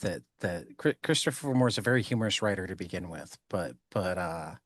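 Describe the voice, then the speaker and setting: A man's voice: low voice, animated, fairly quick, dry recording. Guy hosting a podcast, rambles and jokes around, dry close mic. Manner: rambling and jokey, laughs often. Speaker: podcast host. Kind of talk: casual podcast conversation.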